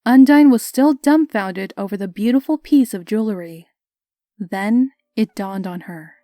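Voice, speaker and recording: A woman's voice: mid-range voice, measured pace, warm tone, no room sound, clean studio sound. A woman reading scripted story narration.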